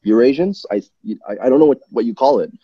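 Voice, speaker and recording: A man's voice: mid-range voice, fast talker, husky, no room sound, lo-fi sound. A man from Malaysia, a guest in a casual interview, talking at length with many restarts and self-corrections. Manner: rambling, self-correcting, animated.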